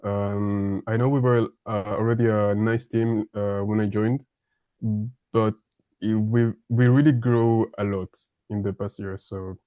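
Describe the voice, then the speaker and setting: A man in his twenties from France introducing himself in a casual video meeting, talking haltingly with many fillers and small chuckles. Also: lowish voice, little pitch movement, mellow, smooth, no echo, highs cut off. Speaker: embedded systems engineer introducing himself.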